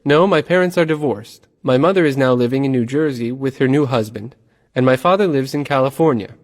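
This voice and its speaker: A man reading scripted practice dialogue for English learners in a plain, even, clearly spoken way. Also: mid-range voice, a little fast, long reverb tail. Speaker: dialogue reader in English lessons.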